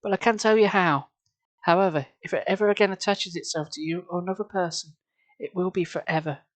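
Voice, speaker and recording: A reader voicing a sad, bitter male mask-shop owner who speaks softly and slowly, in a storybook reading. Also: medium pitch, dry recording, voice pushed up, lightly acted. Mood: solemn. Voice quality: soft, hushed.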